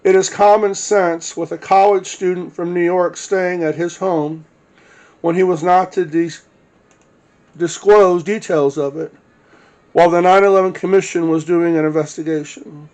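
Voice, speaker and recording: A man's voice: high-pitched, fairly even intonation, moderate pace, darkish tone. A man reading witness statements aloud as the narrator of his own recording.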